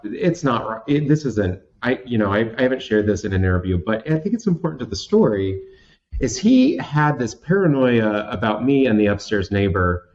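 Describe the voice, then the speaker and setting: A man's voice: medium pitch, a little mellow, lo-fi sound. A man in his thirties from Washington State, telling a personal story as an interview guest in a theatrical, self-mocking way with many restarts. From Washington State, USA. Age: 30s. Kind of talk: candid storytelling in conversation.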